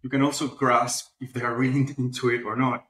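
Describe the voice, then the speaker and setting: A man's voice: mid-range voice, little pitch movement, a little fast, fairly bright tone. Echoey male interview guest. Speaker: interview guest, publishing platform founder. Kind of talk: conversational interview answers. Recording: echoey room sound.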